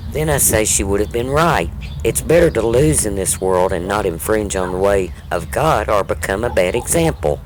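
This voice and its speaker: A man's voice: on the low side, animated, normal speed, natural voice. A man playing a devout, firm, wounded mother in a hobby audio drama, with a preachy, explanatory way of talking. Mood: firm, explaining. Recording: clearly noisy audio.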